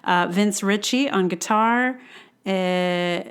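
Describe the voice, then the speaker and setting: A woman's voice: medium pitch, slow, clear, resonant tone. A middle-aged woman hosting a podcast solo in casual, rambling talk that often interrupts itself and apologizes. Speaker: podcast host.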